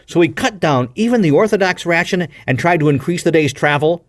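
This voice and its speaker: A man's voice: a little high, animated, brisk, no room sound, clean studio sound. A man reading a classic adventure novel aloud as an audiobook narrator, with a dramatic, emphatic storytelling delivery. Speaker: audiobook reader.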